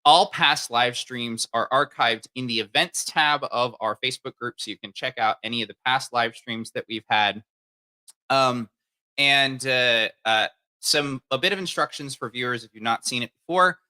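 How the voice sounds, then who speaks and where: A man's voice: medium pitch, normal speed, bright, forceful tone. A man hosting a live video stream, upbeat and chatty with plenty of fillers. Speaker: livestream host. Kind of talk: casual livestream hosting.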